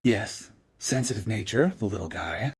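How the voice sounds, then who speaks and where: An actor playing a blunt, sardonic police colleague in a crime radio play, asking clipped questions. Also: male voice, on the low side, animated, normal speed, slightly rough, no echo. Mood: sardonic, probing. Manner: dry, sarcastic, clipped questions.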